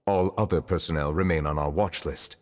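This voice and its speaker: A man playing a formal, reserved official of high rank in a video game, speaking in a measured, stately way. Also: fairly even intonation, big-room sound, a crowd in the background.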